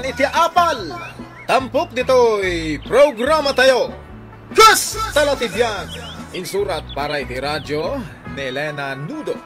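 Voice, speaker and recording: A man with an Ilocano accent announcing a radio drama in an emphatic, drawn-out, scripted style. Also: high voice. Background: music.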